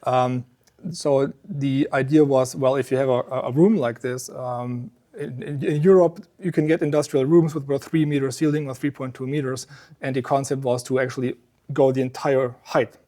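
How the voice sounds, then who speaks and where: A man giving an informal technical explanation of a product as a company representative, measured and explanatory, pausing between phrases. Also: medium pitch, steady tone, dark tone. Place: exhibit room at an audio trade show.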